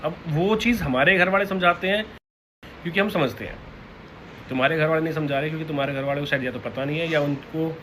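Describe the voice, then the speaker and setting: A man addressing followers informally and unscripted, halting and repeating his phrases, in a noisy recording. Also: fairly high pitch, pushed and bright. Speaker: online content creator. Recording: clearly noisy ambient background.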